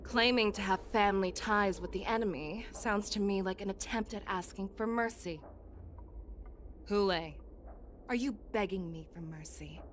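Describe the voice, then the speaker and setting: A woman's voice: medium pitch, slight background noise, lightly acted. Woman as a defiant warrior general, faint ambience behind. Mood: scornful. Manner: firm, challenging, measured. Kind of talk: dramatic game voice acting.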